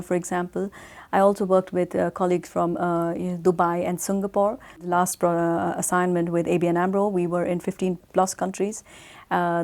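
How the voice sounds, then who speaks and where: Indian-born woman, measured, low-pitched. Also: a little mellow. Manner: measured, with frequent uh fillers. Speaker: guest. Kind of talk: interview answers.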